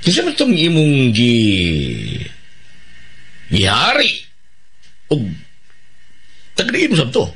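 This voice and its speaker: A man's voice: medium pitch, wildly swooping pitch, slow, pauses often, fairly bright tone, breathy edge, full character voice. A man with a Cebuano Filipino accent playing a boss who questions his henchman probingly in a radio drama. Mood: probing, curious.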